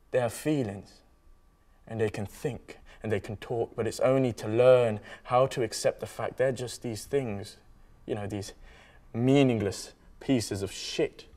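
A man's voice: mid-range voice, a little mellow, natural voice. A man acting a disillusioned, foul-mouthed lad in a rambling, matter-of-fact dramatic monologue. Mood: uneasy, bleak. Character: sweary, disillusioned young lad brooding on toys.

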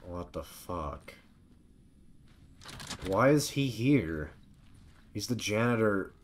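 A man's voice: fairly low pitch, expressive, takes it slowly, a little hiss or hum. A man giving casual reaction commentary as he streams a video game. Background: video game ambience.

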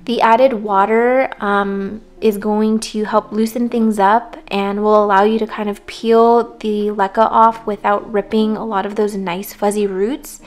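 A hoarse woman casually talking through plant care tips, relaxed and rambling. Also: mid-range voice, a little slow, hardly any pauses. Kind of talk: casual voice-over talk.

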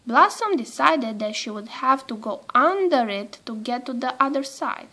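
A woman reading a children's storybook aloud in an expressive, measured storytelling manner. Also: a little high. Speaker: storybook reader.

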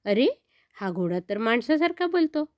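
A woman voicing an excited little boy, eager and full of childlike wonder, in a children's story. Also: a little high, moderate pace, no echo, clean studio sound. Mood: astonished.

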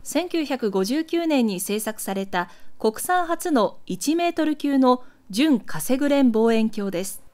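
A woman reading scripted documentary narration for a news archive in a measured, clearly enunciated, explanatory way. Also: high-pitched, a little mellow.

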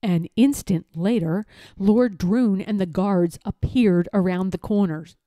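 A woman reading a children's story aloud in a deliberate, expressive, dramatic style. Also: mid-range voice, no room sound, studio quality. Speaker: storybook reader.

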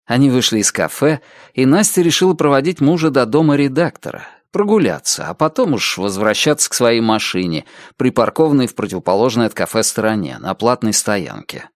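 A man narrating an audiobook in an even storytelling manner. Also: mid-range voice, brisk, hardly any pauses, dry recording, studio quality. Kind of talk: scripted narration.

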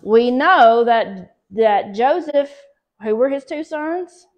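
A middle-aged Southern woman leads a church Bible study at an unhurried pace. Her voice sits a little high, and she reads scripture aloud before explaining it with pauses and fillers. She is picked up by a camera across a small fellowship hall. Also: expressive, highs cut off. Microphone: far camera.

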